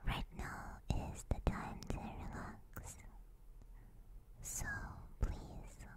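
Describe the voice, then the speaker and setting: A woman whispers very slowly and softly, guiding the listener to relax. Her breathy delivery is gentle and soothing, in the manner of an ASMR stream, spoken close into a binaural mic. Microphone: close binaural microphone.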